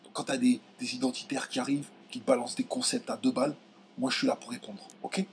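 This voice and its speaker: Heated young guy from france venting to camera, trips over words, goes shrill when he's mad, bit of background hiss. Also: steady tone, quick pace, creaky. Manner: indignant, voice jumps high when outraged, many restarts. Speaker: video commentator. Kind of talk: heated direct-to-camera rant.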